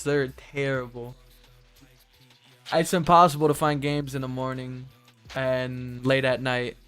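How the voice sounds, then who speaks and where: A man's voice: medium pitch, a bit bright. A man chatting casually with viewers as a game streamer, friendly, appreciative and slangy. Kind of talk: casual livestream chat with viewers.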